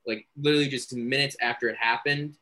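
A man's voice: medium pitch, fairly even intonation, quick pace, sharp tone. A young man co-hosting a radio talk show, giving opinions in an earnest, emphatic way. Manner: earnest, emphatic, repeats "again".

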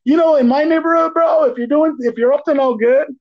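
High-voiced man ranting in slangy podcast chat. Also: brisk. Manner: animated ranting, profane, teasing. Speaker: podcast co-host. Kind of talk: casual, slangy movie chat. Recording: dull, lo-fi podcast audio.

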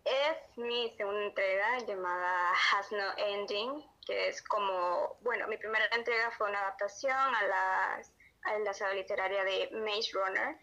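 A woman's voice: mid-range voice, measured pace, bright, forceful tone. A woman with a Honduran accent answering casually as an interview guest who writes as an amateur. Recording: dull lo-fi audio.

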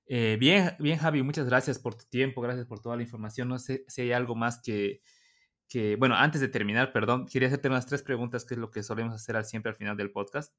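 A man's voice: medium pitch, a little fast, fairly bright tone. A man hosting a podcast interview, asking questions with frequent hesitations and repeated words. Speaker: podcast host. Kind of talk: casual interview.

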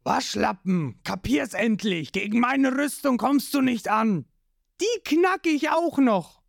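A man's voice: very high voice, animated, slow pace, creaky, no echo, studio quality, voice pushed up, full character voice. A man voicing a boastful, armored male pirate captain who taunts with sneering, gloating lines.

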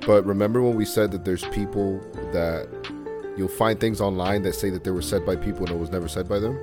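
A man's voice: low-pitched, fairly even intonation, fairly quick, music in the background. A man co-hosting a casual podcast, joking and swearing freely, switching between Spanish and English. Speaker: podcast co-host.

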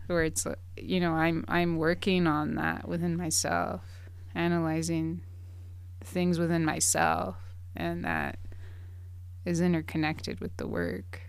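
A woman talking in a relaxed, reflective way, with lots of fillers. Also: on the low side, gravelly, smooth, no echo. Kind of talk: casual conversation.

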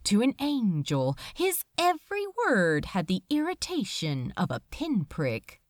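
A woman narrating fiction in a dramatic, emotive way, with deliberate pauses. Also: mid-range voice, a little mellow, no echo, clean studio sound. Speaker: audiobook reader.

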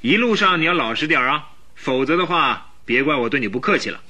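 Sharp, forceful police inspector. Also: male voice, on the high side, animated. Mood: stern warning. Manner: forceful, brisk, commanding. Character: stern, respectful male police inspector. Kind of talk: Mandarin radio drama acting.

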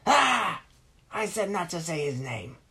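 A blustering storybook villain exclaims loudly and theatrically, as a woman puts him on. The voice is pitched up and pushed hard, full of showy outbursts. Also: very animated, a little slow, slightly rough, full character voice. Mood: gloating. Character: boastful, excitable male bad guy.

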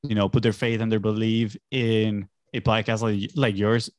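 A man's voice: fairly low pitch, steady tone, normal speed, no echo, clean studio sound. Upbeat young man from Venezuela. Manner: upbeat, loose storytelling with many fillers. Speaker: podcast guest, entrepreneur. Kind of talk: casual interview chat.

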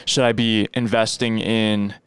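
A man's voice: lowish voice, level tone, unhurried, clean tone, dry recording. A man in his early twenties on a casual podcast, asking curious questions about money with lots of restarts and fillers. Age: 20s. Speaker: podcast co-host. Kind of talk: casual conversational podcast talk.

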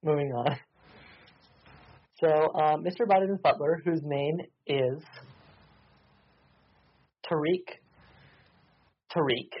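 A man's voice: fairly high pitch, slow pace, pauses often, husky, lo-fi sound. A man narrating as game master over a voice call, hesitant with many ums and restarts.

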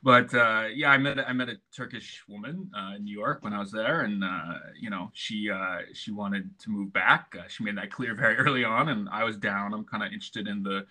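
Laid-back American man, bright voice. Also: lowish voice, fairly quick, hardly any pauses. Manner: laid-back, chuckles often, many restarts. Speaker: guest. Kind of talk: casual conversation. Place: home in Istanbul.

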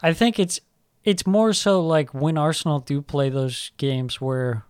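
A man's voice: on the high side, animated, unhurried, darkish tone, smooth, dry recording, studio quality. A man co-hosting a football podcast, talking casually with a hedging, laughing manner. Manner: hedging, laughs often, uses fillers. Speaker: podcast co-host.

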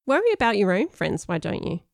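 A sharp-tongued, defensive schoolgirl, voiced by a woman narrator. She is guarded but has a soft streak, in storybook dialogue with a very dry, close sound. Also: medium pitch, wildly swooping pitch, brisk, gravelly, no echo. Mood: cutting, mean.

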